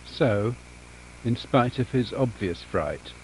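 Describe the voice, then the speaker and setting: A man reading scripted storybook horror narration in a theatrical, measured storyteller's manner. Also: medium pitch, animated, a little hiss or hum, rough recording.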